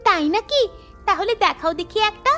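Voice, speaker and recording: Cartoon role: excitable young village child, very high and eager, played by a woman, faint music. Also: normal speed, exaggerated voice. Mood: eager, surprised. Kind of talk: Bengali fairy tale cartoon acting. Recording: music under the voice.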